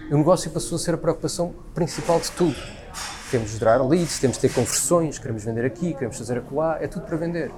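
A man with a European Portuguese accent talking spontaneously and giving his opinions in an emphatic, repetitive way. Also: mid-range voice. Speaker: speaker sharing opinions in a conversation.

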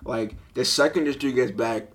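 A young man with a deep voice hosting a casual solo podcast, telling stories in a rambling, animated way, full of fillers and laughter, into a budget mic with a sock pop filter. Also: moderate pace. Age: young adult. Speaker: podcast host.

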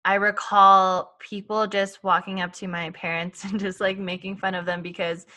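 Woman, from Canada, podcast co-host, bright tone, earnest, thoughtful, casual. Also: mid-range voice, little pitch movement, moderate pace. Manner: earnest, thoughtful, uses "like" often. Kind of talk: casual conversation.

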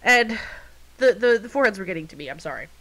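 Congested woman co-host, bright forceful tone, rambling jokey delivery. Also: mid-range voice, expressive, fast, poor audio. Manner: chatty, jokey, rambling storytelling. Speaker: podcast co-host. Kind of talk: casual chat.

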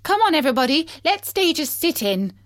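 An indignant lady bus passenger, acted out by a woman reader, bossy and rallying. Her storybook voice is high and emphatic, swinging up and down. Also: very animated, brisk, no room sound, clean studio sound. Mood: defiant.

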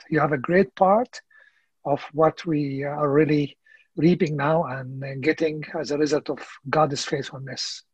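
A man, halting and earnest, pausing on fillers. His voice is husky and a bit high for a man. The sound is dry and dull, like a lo-fi remote line. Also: dry recording. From Egypt. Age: late 50s. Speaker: ministry leader guest. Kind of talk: casual interview conversation.

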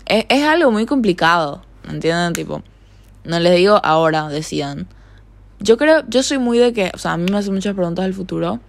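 A woman's voice: on the low side, expressive, fairly quick, creaky. A young woman from Paraguay with a Paraguayan accent tinged with Argentine tones, rambling in a casual solo podcast from her bedroom. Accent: Paraguayan Spanish with some Argentine tone. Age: young adult. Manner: rambling, chatty, filler-heavy. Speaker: podcast host.

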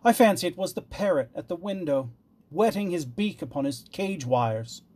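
A man voicing a placating royal courtier in a storybook, speaking in a measured way. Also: fairly high pitch, expressive, darkish tone, voice pushed up. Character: smooth-talking male royal courtier.

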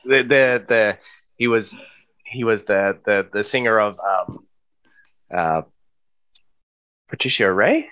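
A man's voice: lowish voice, slow pace, pauses often, fairly bright tone, highs cut off. A man hosting a casual interview, often repeating words as he gathers his thoughts. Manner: stammering, repeats words while thinking. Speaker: host.

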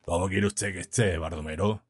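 Man as husky, confiding Andalusian sidekick. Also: fairly even intonation, fairly quick, faint music behind. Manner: colloquial, low confiding talk. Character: cautious Andalusian working man, drunk heckler's sly companion.

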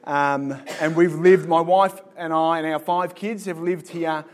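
A man's voice: a little high, fairly even intonation, measured pace. A man in his thirties from Sydney with an Australian accent, a joking, self-deprecating guest telling his life story to a live audience. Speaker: guest speaker. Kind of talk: live interview and personal testimony to an audience.

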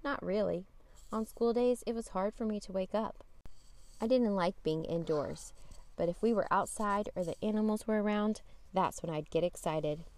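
A woman reading a children's picture book aloud as a storytime host, with expressive emphasis on key words. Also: medium pitch, moderate pace. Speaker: storytime podcast host and reader.

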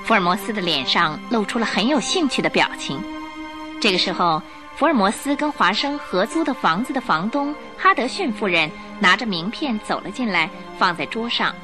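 A woman's voice: mid-range voice, animated, moderate pace, over music. A woman giving dramatic scripted narration as the storyteller of a radio drama.

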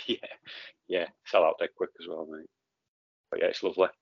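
Gravelly low-voiced English man, matey. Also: flat delivery, normal speed, highs cut off. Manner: matey, swears casually, says "mate" a lot. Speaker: podcast host. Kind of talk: casual podcast conversation.

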